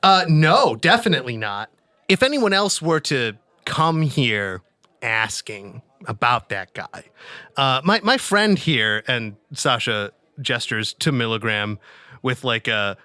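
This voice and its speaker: A man's voice: medium pitch, very animated, takes it slowly, sharp tone, no room sound. A man playing a tabletop role-playing game, breezily narrating and acting out his character in a playful, casual, improvised way. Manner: breezy, playful, acts out dialogue in first person.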